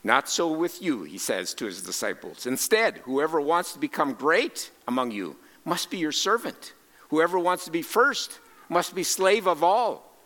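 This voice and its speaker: A man preaching a sermon as a missionary, speaking deliberately and emphatically in short phrases with pauses, in a large reverberant space. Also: on the high side, very animated, fairly bright tone. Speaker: preacher and cross-cultural missionary. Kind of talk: sermon with scripture quotations and rhetorical questions.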